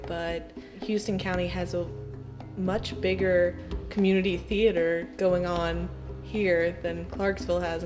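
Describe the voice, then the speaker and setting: A woman from the United States who acts in community theater, talking in an interview. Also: fairly low pitch. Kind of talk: interview talk.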